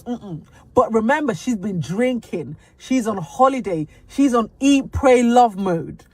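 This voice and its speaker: A woman's voice: medium pitch, no echo. Sassy woman host, dry close sound. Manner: sassy, emphatic, breaks points down. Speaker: commentary host. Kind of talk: reaction commentary.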